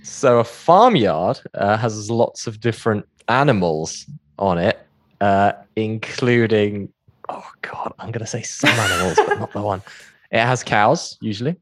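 A man's voice: lowish voice, measured pace. A man interviewing as a radio host in a casual, jokey, bantering way. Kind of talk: casual playful interview chat.

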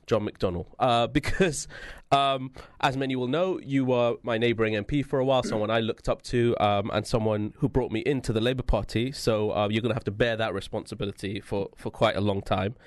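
A man hosting a radio interview show, with an effusive, halting delivery full of hesitations. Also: medium pitch, dry recording, clean studio sound. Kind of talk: long-form political interview.

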